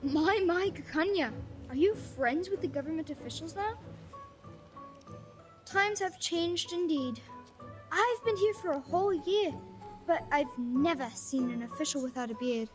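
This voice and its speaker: Brash, chatty street kid; high-pitched, with game score behind. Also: female voice, measured pace. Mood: sly. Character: cheeky street-smart kid, talkative. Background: game music.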